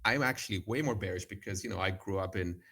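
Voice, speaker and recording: Rambling low-voiced man from Cyprus. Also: quick pace, bright, forceful tone, husky. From Cyprus/Greece. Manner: rambling, many fillers and restarts. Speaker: guest trader. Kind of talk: casual podcast chat.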